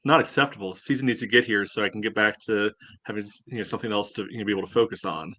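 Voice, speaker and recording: Male panelist, somewhat deep, very little room sound, blunt mock-indignant conversational delivery. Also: racing, bright, forceful tone, no room sound. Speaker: podcast panelist. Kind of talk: casual banter.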